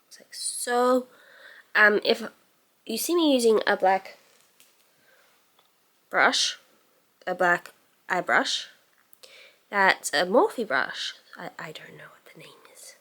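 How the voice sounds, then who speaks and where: Slow-paced woman chatting through a makeup tutorial. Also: medium pitch, animated, sharp tone. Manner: musing aloud while working, sentences trailing off. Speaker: beauty vlogger giving a makeup tutorial. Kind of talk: casual makeup tutorial chat.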